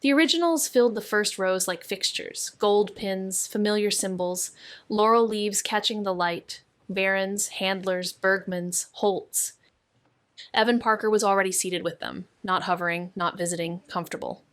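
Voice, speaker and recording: Woman acting a deadpan young noir sleuth, close and dry. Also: medium pitch, moderate pace, no room sound, natural voice. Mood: wry, deadpan. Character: wry, watchful young female amateur sleuth narrating noir mystery. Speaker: narrator and lead character. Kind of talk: noir scripted narration.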